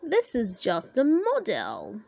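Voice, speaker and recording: A woman's voice: on the high side, huge pitch swings, slow pace, vocal fry, a little mellow, dry recording, full character voice. A woman voicing a good-humoured, jovial father pig in a children's storybook. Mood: amused. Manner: jovial, snorting, chuckling.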